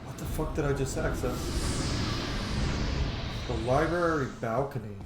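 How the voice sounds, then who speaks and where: A man's voice: mid-range voice, unhurried. Casual male gamer in an echoey room. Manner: informal, sweary, thinks aloud. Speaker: gaming video host. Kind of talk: casual playthrough commentary. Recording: roomy echo, game music behind.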